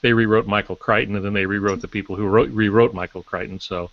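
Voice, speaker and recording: An older man chatting casually as a podcast guest, telling anecdotes and pausing to search for words. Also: lowish voice, fairly even intonation, creaky. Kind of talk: casual panel talk, anecdotes. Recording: dull lo-fi roomy online audio.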